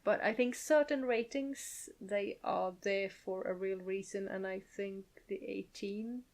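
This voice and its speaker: A woman's voice: medium pitch, steady tone, a little slow, darkish tone. A woman co-hosting a casual gaming podcast discussion, speaking thoughtfully with frequent hedges and agreeing murmurs. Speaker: podcast co-host.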